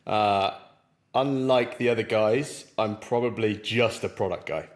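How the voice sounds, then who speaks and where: Teasing host with a lowish voice. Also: male voice, unhurried. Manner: hosting, teasing, filler-heavy. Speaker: podcast host. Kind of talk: casual chat.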